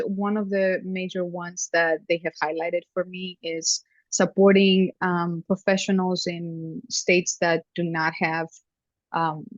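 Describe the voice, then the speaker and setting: A woman from Greece, a family therapy professor, chatting as a guest in an interview over a video call, talking easily with frequent ums and soft laughs. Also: on the low side, no room sound. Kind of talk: conversational interview. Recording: dry, dull lo-fi sound.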